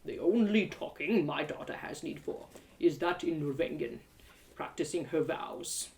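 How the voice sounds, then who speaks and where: A woman's voice: low-pitched, a little slow, a little mellow, voice pushed down. A woman voicing a stern, scornful elven mother in a story, with imperious, formal commands.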